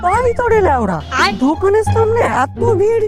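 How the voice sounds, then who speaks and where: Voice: extremely high pitch, big pitch swings, moderate pace. A performer voicing a smug, boastful, foul-mouthed teenage schoolboy in a comic cartoon, with a mocking, slangy manner. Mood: scheming. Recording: loud music behind the voice.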